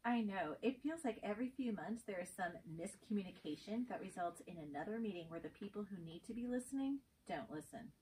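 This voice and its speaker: A woman's voice: mid-range voice, animated, fairly quick, hardly any pauses, darkish tone, lightly acted. A woman reading the part of an indignant, caring female schoolteacher in an amateur script reading, recorded at a distance. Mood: exasperated. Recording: distant mic, faint room noise.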